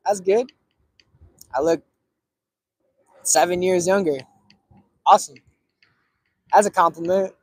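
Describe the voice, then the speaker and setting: Man, early thirties, casual rambling talk, slightly high voice, dry close sound. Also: takes it slowly, long pauses, dry recording. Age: 30s. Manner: relaxed, filler-heavy, rambling. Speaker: cigar streamer. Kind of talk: casual chat to viewers.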